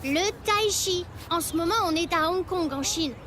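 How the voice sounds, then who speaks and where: A woman's voice: extremely high pitch, moderate pace, background noise. A woman voicing a cheerful, easygoing young child in a French children's cartoon, with a friendly, natural manner.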